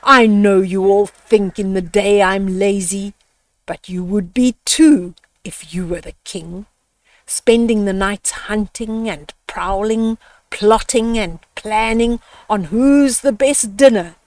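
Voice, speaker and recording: A woman's voice: mid-range voice, slow, no room sound, distant mic, lightly acted. A woman voicing a proud, mighty male lion king in a children's storybook, boastful and commanding. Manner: purring, boastful. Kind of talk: children's storybook read-aloud.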